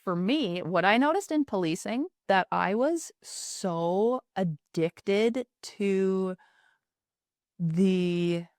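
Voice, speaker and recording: A woman's voice: medium pitch, expressive, very slow, a little mellow, no room sound, studio quality. A woman podcast host talking in a chatty, rambling way with many filler words. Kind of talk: casual podcast conversation.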